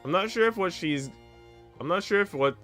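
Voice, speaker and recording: A man's voice: high voice, lively intonation, fairly quick, fairly bright tone, soft background music. A man streaming a visual novel, reading its narration aloud expressively with casual commentary.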